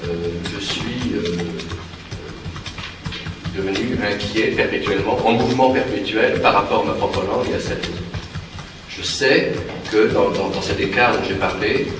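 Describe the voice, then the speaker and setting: A man who translates for the theatre, reflecting slowly, with many fillers and restarts. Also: fairly low pitch. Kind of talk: reflective spoken talk. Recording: very echoey room, from far off, with music behind.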